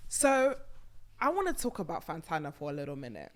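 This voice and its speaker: A young woman hosting a podcast from a small studio set, chatting emphatically about a reality show into a boom mic, with bursts of laughter. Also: huge pitch swings, fairly quick. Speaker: podcast host. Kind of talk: casual chat reviewing a reality show. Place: small purple-lit studio set on a sofa. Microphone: studio mic on a boom arm.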